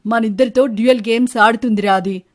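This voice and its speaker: A woman's voice: a little high, fairly even intonation, fast talker, close to an everyday voice. A woman voicing suspicious, wronged male birds in a cartoon story, questioning and confrontational. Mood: angry. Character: suspicious, wronged male bird husbands and lovers, accusing. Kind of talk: animated Telugu moral-story dialogue.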